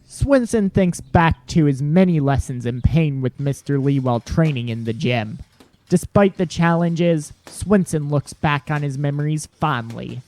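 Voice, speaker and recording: A man's voice: fairly high pitch, lively intonation, a little slow, darkish tone. A man narrating a scripted audio drama in the third person.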